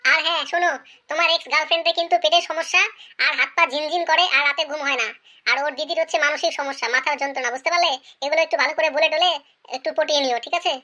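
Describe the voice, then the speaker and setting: A woman's voice: high voice, fairly even intonation, very fast, pushed and bright. A woman playing a chatty, pleading and scheming village woman in a cartoon comedy. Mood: scheming, bossy.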